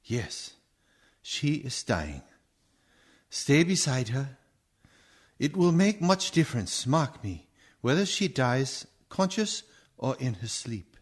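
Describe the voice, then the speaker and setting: Man playing a slow, commanding vampire hunter. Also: medium pitch, big pitch swings, breathy edge, full character voice. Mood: grave, ominous. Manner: emphatic, rhetorical questioning. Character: grave, commanding, knowing older doctor and vampire hunter. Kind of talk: dramatic radio play acting.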